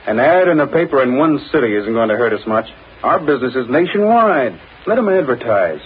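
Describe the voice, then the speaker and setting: A man's voice: mid-range voice, very animated, fairly quick, a little hiss or hum, lightly acted. An actor playing a slick, self-assured male swindler in an old-time radio drama, with brash, slangy, dismissive talk. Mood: brazen, dismissive. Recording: tinny, band-limited old radio sound.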